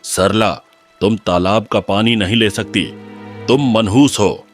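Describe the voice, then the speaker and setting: A man acts out a hostile village strongman in a cartoon moral story. He is harsh, accusing and commanding, in a fairly low voice. Faint music plays underneath. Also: a little slow, natural voice. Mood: angry, accusing. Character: hostile, scornful village man and cruel village chief.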